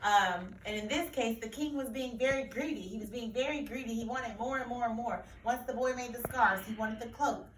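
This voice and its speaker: A woman's voice: on the high side, a little fast, few pauses. A woman teacher explaining a story to children in a read-aloud lesson, repeating key phrases as she goes. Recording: roomy echo, distant mic, faint noise.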